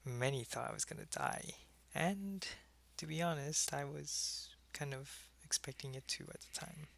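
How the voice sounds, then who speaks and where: Man playing a creaky-voiced, old-fashioned vampire. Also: a little high. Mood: wistful, reminiscing. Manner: unhurried, casual, soft-spoken, often dropping into whispers. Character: old-fashioned, wistful, friendly male vampire, centuries old. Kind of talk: intimate ASMR roleplay monologue.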